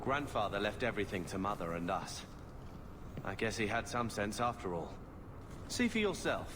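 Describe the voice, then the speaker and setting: A man's voice: on the low side, big pitch swings, normal speed, background noise. An actor playing a determined, dry young man in a video game, terse and sardonic.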